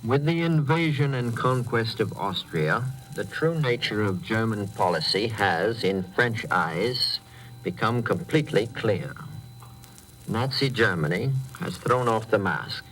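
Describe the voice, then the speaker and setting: A man's voice: lowish voice, expressive, unhurried, fairly bright tone, slight background noise, poor audio. A man giving grave, oratorical radio commentary as a foreign correspondent, on a 1930s shortwave transmission.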